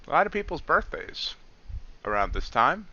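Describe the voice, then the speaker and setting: A hoarse man hosting a livestream, chatting casually as he reacts to the chart and greets viewers. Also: fairly high pitch, sing-song, moderate pace, pushed and bright, lo-fi sound. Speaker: futures trader hosting a livestream. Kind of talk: casual live-stream market commentary.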